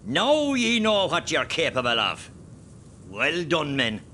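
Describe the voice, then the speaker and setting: An actor playing a rousing Irish rebel officer in a war radio play, giving terse, commanding orders in an Irish accent. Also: male voice, a little high, huge pitch swings, takes it slowly, pushed and bright, slight background noise, full character voice. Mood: proud, brisk.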